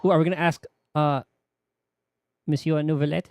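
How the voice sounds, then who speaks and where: A man's voice: a little high, steady tone, moderate pace, vocal fry, a little mellow, dry recording. Casual male game streamer, very dry sound. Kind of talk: casual gameplay commentary, reading game text aloud.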